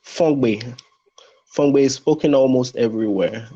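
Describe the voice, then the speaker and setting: A man's voice: measured pace, dark tone. Hesitant man, muffled sound. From Benin. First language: French. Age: young adult. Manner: hesitant, many restarts and fillers. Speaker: translation student guest. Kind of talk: casual interview answers. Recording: muffled low-fidelity audio.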